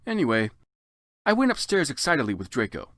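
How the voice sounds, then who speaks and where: A man's voice: medium pitch, huge pitch swings, brisk, fairly bright tone, no room sound, studio quality. A man narrating fan fiction as a comic dramatic reading, in a theatrical, exaggerated manner.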